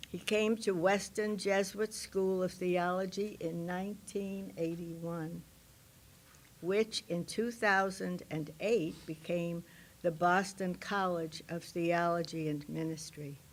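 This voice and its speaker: A woman reading a formal introduction of a speaker in a measured manner. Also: on the low side, fairly even intonation. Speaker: event introducer.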